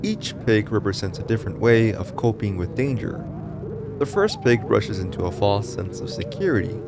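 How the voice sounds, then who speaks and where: A man's voice: lowish voice, lively intonation, moderate pace. A man reading scripted narration for a horror story explainer in a dramatic, ominous storytelling manner. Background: music behind the voice.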